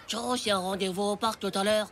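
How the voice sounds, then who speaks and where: An actor playing a cocky, excitable ten-year-old schoolboy in a French cartoon, talking in boastful, slangy exclamations. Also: mid-range voice, fairly quick, close to an everyday voice. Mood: panicked.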